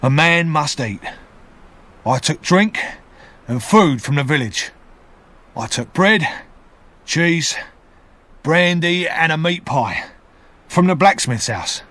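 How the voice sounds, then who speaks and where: A man voicing a rough, angry escaped convict who shouts defiantly. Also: fairly high pitch, expressive, slow pace, long pauses, pitched above the performer's own voice. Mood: grave.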